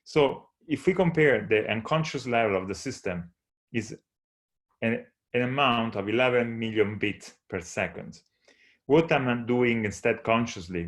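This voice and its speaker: A man's voice: lowish voice, a bit bright. A man from Italy, a non-native speaker of English with an Italian accent, giving an earnest, explanatory conference talk from slides. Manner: earnest, explanatory, with occasional restarts.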